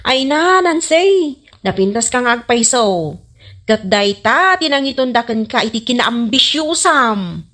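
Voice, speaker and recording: Lady acting a blunt teasing ilocano girl in radio comedy, high voice that swoops around. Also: expressive, a little slow, fairly bright tone. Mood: frank, teasing. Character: frank, teasing young woman running a snack stall.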